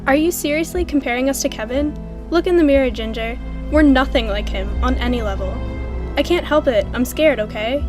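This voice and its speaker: A woman voicing a guarded, nervous teenage girl who speaks in a hushed, defensive way. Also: sits high, a little fast, music in the background, close to an everyday voice.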